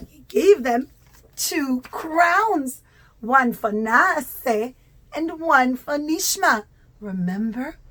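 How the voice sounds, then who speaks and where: A woman telling a story to young children as a teacher, with simple, emphatic, repetitive phrasing. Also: sits high. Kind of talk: animated children's puppet-show storytelling.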